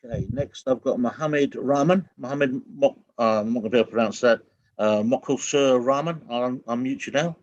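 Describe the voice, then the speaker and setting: A man's voice: medium pitch, a little fast, a little mellow, muffled recording. A man co-hosting a webinar, friendly and a bit unsure with names. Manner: friendly, stumbles over names. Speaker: co-host. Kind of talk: casual hosting.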